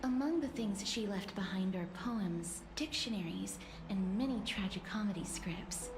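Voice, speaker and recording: A woman voicing a polite, reserved young woman in a fantasy game, soft-spoken, formal, hesitant and wistful. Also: medium pitch, lively intonation, moderate pace, reverberant, over music, lightly acted. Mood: reflective, shy. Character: gentle, melancholy young female poetry lover, polite and reserved. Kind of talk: fantasy video game acting.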